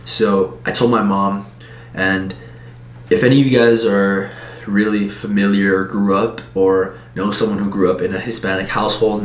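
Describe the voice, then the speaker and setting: A young man from Texas chatting casually to camera in a laid-back, slangy way as a vlogger. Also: fairly low pitch. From Texas, United States. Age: young adult. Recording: clear car and traffic noise.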